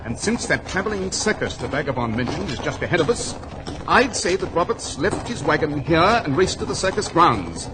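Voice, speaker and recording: An actor playing a shrewd, commanding English detective with a British accent and crisp, authoritative speech in a 1940s radio drama. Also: male voice, a little high, sing-song, moderate pace. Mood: decisive. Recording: hissy old 1940s radio recording.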